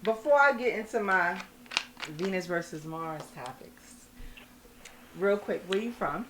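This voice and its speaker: A woman hosting a casual radio talk show, chatty and upbeat, quick to laugh with her guests. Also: on the low side, animated, crowd noise, rough recording.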